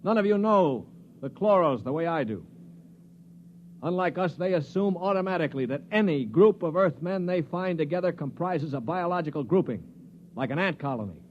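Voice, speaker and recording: An actor playing a blunt, cynical Earthman prisoner who narrates a 1950s science fiction radio play in a sardonic, forceful manner. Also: on the high side, expressive, normal speed, slight background noise, natural voice. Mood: sardonic, commanding. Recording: muffled 1950s radio recording.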